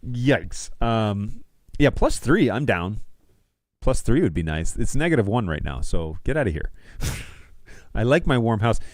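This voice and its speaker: A man's voice: on the low side, a little mellow, no room sound. A man in his thirties hosting a casual livestream, chatting to viewers in an easygoing, slangy, jokey way at a close studio mic. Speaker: livestream host. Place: small home studio room indoors. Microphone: close studio mic on a stand.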